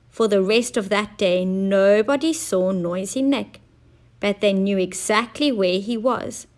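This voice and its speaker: A woman teacher narrating a children's story in an expressive, deliberate way. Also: medium pitch.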